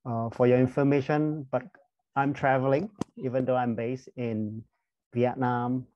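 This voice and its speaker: A man's voice: mid-range voice, little pitch movement, unhurried, dull audio, a little hiss or hum. A younger man from Singapore introduces himself on a video call, hesitating with many fillers. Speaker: panelist, founder of a counseling service.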